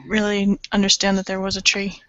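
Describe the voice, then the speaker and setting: A woman's voice: mid-range voice, monotone, fairly quick, a crowd in the background. A woman playing a tabletop roleplaying game, speaking casually in character over a lo-fi voice chat. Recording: noisy, muffled lo-fi voice chat, clicks.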